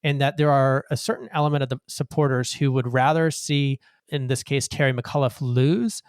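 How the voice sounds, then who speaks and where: A man co-hosting a political podcast, talking in a measured conversational way. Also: mid-range voice, steady tone, clear, resonant tone, no echo, clean studio sound. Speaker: podcast co-host. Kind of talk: political talk show.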